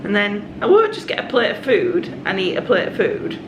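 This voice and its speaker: A woman in her thirties talks to her camera in a chatty, confiding way, her voice croaky from a cold. The room gives her voice a roomy echo. Steady background noise sits underneath. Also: mid-range voice, muffled recording. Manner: chatty and confiding, with quick asides. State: hoarse from a cold. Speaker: vlogger. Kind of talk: casual vlog chat.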